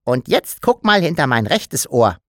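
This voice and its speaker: A man's voice: a little high, very animated, unhurried, fairly bright tone, dry recording, studio quality, pitched above the performer's own voice. A man voicing a playful, mischievous childlike creature in an audiobook, with a bouncy, teasing way of talking. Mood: cheeky. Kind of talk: audiobook character voice.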